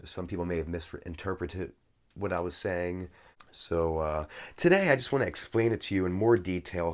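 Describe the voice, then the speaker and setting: Hoarse, low-voiced man ranting indignantly. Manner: indignant, opinionated ranting with frequent self-restarts. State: hoarse from a cold. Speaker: video creator. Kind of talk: casual monologue on economic history.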